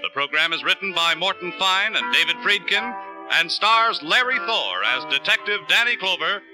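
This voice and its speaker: High-pitched American radio announcer man. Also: lively intonation, moderate pace, poor audio. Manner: polished, upbeat sales delivery. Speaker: sponsor announcer. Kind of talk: 1940s-50s radio commercial and credits announcing. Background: music.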